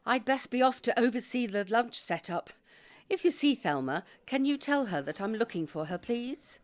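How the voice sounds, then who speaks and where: A British actress playing a fussy, chirpy elderly care-home resident in an audio drama, brisk and theatrical. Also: female voice, on the high side, expressive, lightly acted.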